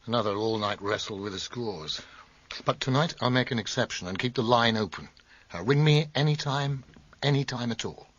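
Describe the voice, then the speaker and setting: An actor playing an earnest, anxious, concerned friend with emphatic delivery in a radio drama. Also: male voice, medium pitch, moderate pace, fairly bright tone, lightly acted. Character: jittery, concerned musician friend, urging help. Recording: dull, slightly muffled radio recording.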